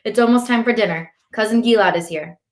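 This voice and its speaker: Woman playing a brisk, firm storybook mother. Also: fairly high pitch, pitched above the performer's own voice, close to an everyday voice. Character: brisk, busy mother calling her sons.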